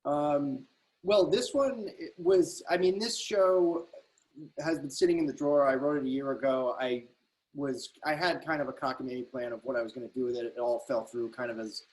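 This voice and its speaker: A man speaking as a playwright in casual, reflective discussion, circling his way haltingly through explanations on a video call. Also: mid-range voice, a little mellow, low-quality recording. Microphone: computer video call.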